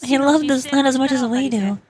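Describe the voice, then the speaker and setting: A woman voicing a confident, easygoing game heroine who reassures and gently teases. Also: high-pitched, brisk, lightly acted. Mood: reassuring.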